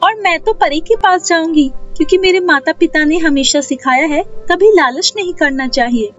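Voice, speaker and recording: A woman's voice: extremely high pitch, moderate pace, lo-fi sound, faint music behind, voice pushed up. A woman voicing a good-hearted, earnest young village girl in a cartoon moral story, polite and pleading.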